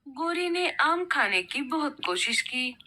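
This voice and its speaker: A woman reads a scripted children's story in a bright, fairly high voice. She narrates for a cartoon, with clear noise in the recording. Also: animated, takes it slowly. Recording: noticeable background noise.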